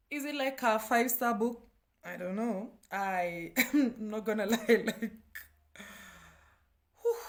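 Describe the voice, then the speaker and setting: A Kenyan woman, a native Kikuyu speaker, reviewing books in a casual, slangy, self-deprecating chat. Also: medium pitch. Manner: chatty, slangy, self-deprecating, breaking often into laughter. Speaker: book review vlogger.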